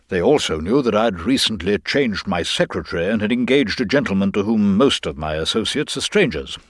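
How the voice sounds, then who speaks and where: A man's voice: on the low side, dry recording, clean studio sound. A man voicing an irritable, imperious lord in a dramatised story, formal, clipped and demanding. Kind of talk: dramatised audiobook reading.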